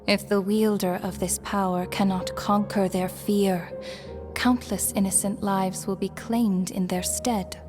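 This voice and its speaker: A woman performs a solemn shade who rules over death in a video game. She delivers formal, weighty pronouncements at an unhurried pace, in a mid-range female voice. Music plays behind her. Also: mid-range voice, fairly even intonation, lightly acted. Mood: stern, measured. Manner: grave, formal, deliberate pronouncements. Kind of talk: dramatic video game acting.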